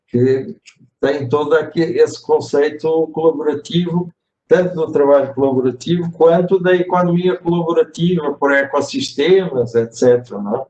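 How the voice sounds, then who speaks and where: Portuguese-accented male moderator, slightly high voice, reflective and hesitant, roomy distant mic. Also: a little mellow, muffled recording. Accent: European Portuguese. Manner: measured, reflective, many hesitations and tag questions. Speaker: webinar moderator. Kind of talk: webinar discussion. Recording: echoey room, distant mic, faint noise.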